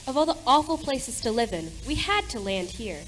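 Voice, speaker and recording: A woman's voice: a little high, big pitch swings, normal speed, a bit bright, a little hiss or hum. A woman playing a proper, fretful mother and hostess in an amateur radio play, with genteel theatrical delivery. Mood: grumbling.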